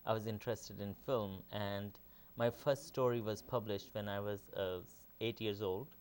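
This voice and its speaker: Deep-voiced man, measured. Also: steady tone, a little hiss or hum. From Pakistan. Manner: measured, many "uh" pauses. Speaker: film director, guest. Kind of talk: interview answers.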